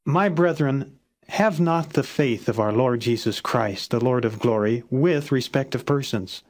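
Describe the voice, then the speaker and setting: A man reading scripture as a non-dramatized audiobook, with measured, solemn and formal phrasing. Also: medium pitch, a little mellow. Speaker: Bible reader, narrator.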